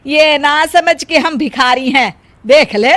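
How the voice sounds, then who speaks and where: A woman's voice: sits high, slow pace, fairly bright tone, dry recording. A woman voicing a proud, stubborn middle-aged Indian mother-in-law in a moral story, with a taunting, emphatic way of talking. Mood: indignant. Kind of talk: acted dialogue in a Hindi moral story.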